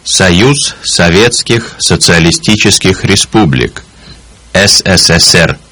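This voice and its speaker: Deep, slow man modeling words. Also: bright, forceful tone, no echo. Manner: deliberate, articulated word by word. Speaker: native speaker on a language course recording. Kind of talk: slow, careful model pronunciation.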